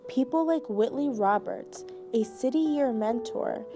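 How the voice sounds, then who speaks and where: A woman reading scripted narration for a student documentary in an even voice. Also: fairly high pitch, measured pace, soft background music.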